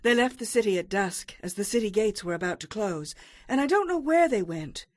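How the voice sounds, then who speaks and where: A woman's voice: medium pitch, lively intonation, a little fast, dry recording, natural voice. A woman playing an anxious, pleading woman of ancient Jericho, shrewd and earnest, in a dramatized audio Bible. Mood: urgent, evasive.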